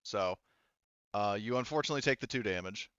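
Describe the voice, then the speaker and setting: A man's voice: lowish voice, animated, fairly quick, a bit bright, dull audio, slight background noise. A man acting as game master for a tabletop game, talking casually with swearing and explaining the rules.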